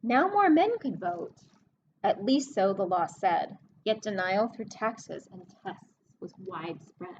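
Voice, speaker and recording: A woman librarian reading a picture book aloud to children, expressive and explanatory, pausing to speak to her young listeners. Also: mid-range voice, dull audio, slight background noise. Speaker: children's librarian hosting story time.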